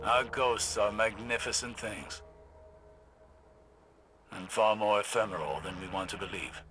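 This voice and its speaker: Reflective game warrior, forceful tone, formal, deliberate, world-weary, proud, faint music behind. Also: male voice, fairly low pitch. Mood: solemn. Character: weary ancient warlord man, reflective and proud. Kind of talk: dramatic game dialogue.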